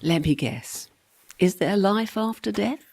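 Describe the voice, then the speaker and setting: A woman plays a servant girl's ghost in a comic radio play, flippant and sardonic. Her voice is husky and mellow. She teases breezily, with lively rises and falls in pitch. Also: measured pace, heavily acted. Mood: breezy sarcasm. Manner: sarcastic, teasing, breezy.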